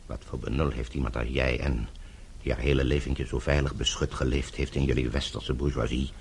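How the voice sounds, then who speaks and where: An actor playing a weary, bitter older politician from behind the Iron Curtain in a radio play, brooding and confessional. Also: male voice, a bass voice, steady tone, brisk, darkish tone, slight background noise. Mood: bitter, anguished. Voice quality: gravelly, husky. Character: weary, bitter older Eastern Bloc politician, guilt-ridden. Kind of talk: radio drama acting.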